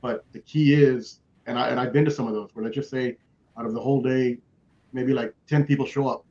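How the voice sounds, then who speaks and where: A man's voice: mid-range voice, steady tone, brisk, dark tone. A man speaking as a panelist in a motivational way, emphatic and repeating himself for weight. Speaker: children's author, panelist. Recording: dull, lo-fi call audio.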